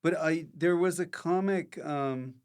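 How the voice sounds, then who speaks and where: The host across the table on a comedy podcast, a man easing casual questions in slowly, in a clean, smooth voice. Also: fairly high pitch, a little mellow. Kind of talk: casual chat, short interjections.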